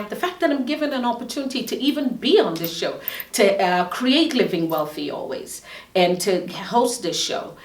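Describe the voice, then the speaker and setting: Woman host, low voice. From Guyana. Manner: earnest, motivational advice-giving. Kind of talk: casual self-introduction. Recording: roomy sound from a distant mic.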